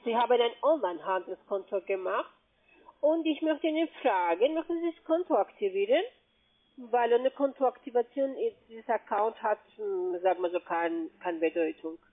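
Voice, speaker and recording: A woman with non-native German speaks as a call-centre agent. She pushes insistently through her pitch with broken grammar. The line is muffled and narrow, like a cheap phone connection. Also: expressive, moderate pace. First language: not German. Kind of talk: cold-call sales pitch. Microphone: telephone.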